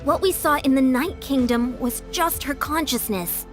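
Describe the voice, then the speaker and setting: A woman's voice: sits high, moderate pace, soft background music, close to an everyday voice. A young woman playing a caring, determined warrior in a video game, speaking earnestly and resolutely. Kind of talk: video game voice acting with musical score.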